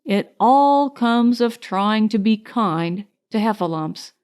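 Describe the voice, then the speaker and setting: A woman voicing a slow-witted, rueful, honey-loving storybook bear in a murmuring, singsong way. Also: mid-range voice, expressive, darkish tone, clear, resonant tone. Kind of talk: storybook character voice.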